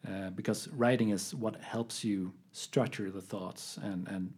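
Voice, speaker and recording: A man co-hosting a podcast, explaining writing research in a measured way with many pauses and fillers. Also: on the low side, fairly even intonation, a little mellow, breathy edge. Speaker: podcast co-host, academic. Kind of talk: casual academic chat.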